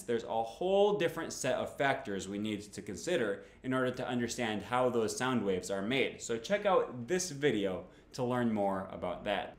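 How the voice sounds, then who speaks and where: A man in his thirties, a language teacher, explaining phonetics clearly and patiently to camera in a small home room. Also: medium pitch, reverberant.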